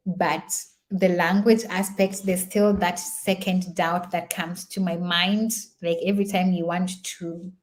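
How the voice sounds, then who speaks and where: A woman's voice: on the low side, darkish tone, low-quality recording. A young woman whose first language is Swahili, talking in a casual, reflective vlog, chatty and full of fillers and repeats. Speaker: vlogger.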